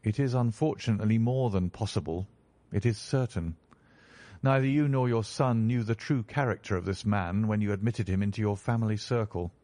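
A man doing a stern, calm detective. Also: lowish voice, no echo, voice pushed down, lightly acted. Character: stern, calm male detective, measured and assured.

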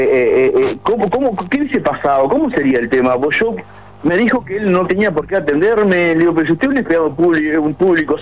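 A man in his forties with a Uruguayan accent, calling a radio show to complain at length, with many restarts. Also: fairly high pitch. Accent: Uruguayan Spanish. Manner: aggrieved, rambling with many restarts. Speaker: caller. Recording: muffled phone line on radio.

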